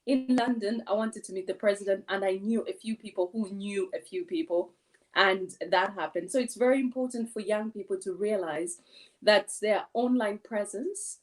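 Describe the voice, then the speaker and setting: A woman's voice: mid-range voice, normal speed. A woman hosts an online interview. Her voice is mid-range and she asks her guest questions in a conversational way. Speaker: talk show host.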